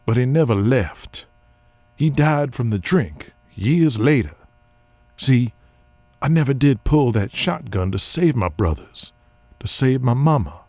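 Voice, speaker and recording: An actor playing an earnest, hard-bitten pro wrestler in an audio drama, plain-spoken, deliberate and brooding. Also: male voice, mid-range voice, expressive, darkish tone, no room sound. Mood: solemn, resolute.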